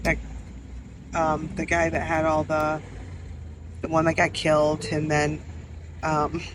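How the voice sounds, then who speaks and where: A young woman co-hosting a casual chat podcast, animated and quick to laugh as she tells stories. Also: low-pitched. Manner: chatty, animated storyteller who laughs often. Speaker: podcast co-host. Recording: noisy background hiss.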